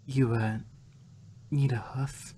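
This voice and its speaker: Man voicing a breathy, hesitant female pony. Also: mid-range voice, steady tone, takes it slowly, warm tone. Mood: questioning, concerned. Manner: hesitant, stammering. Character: timid, puzzled female cartoon pony with wings.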